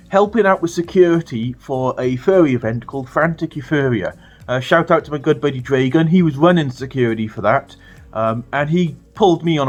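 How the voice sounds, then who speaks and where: A British man telling a funny story to his viewers in a casual, animated way, swearing freely. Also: mid-range voice. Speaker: storytelling vlogger.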